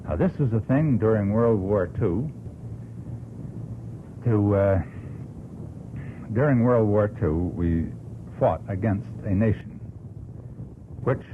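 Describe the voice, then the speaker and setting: An American man argues earnestly on a radio talk show, phrasing deliberately and emphatically like a practised orator. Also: lowish voice. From United States. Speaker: panel guest. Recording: noisy, tinny old radio broadcast recording.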